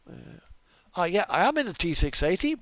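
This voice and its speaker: A man's voice: high-pitched, very animated, moderate pace, a bit bright, no echo. A man giving casual, unscripted game commentary as a livestream host, thinking aloud and muttering to himself hesitantly.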